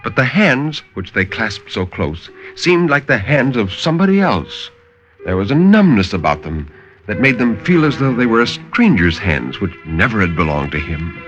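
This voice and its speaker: Expressive low-voiced man narrating old radio horror. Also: lo-fi sound, soft background music. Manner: measured, expressive storytelling with dramatic swells and hushes. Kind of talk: dramatic scripted horror story narration. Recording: vintage 1950s radio recording.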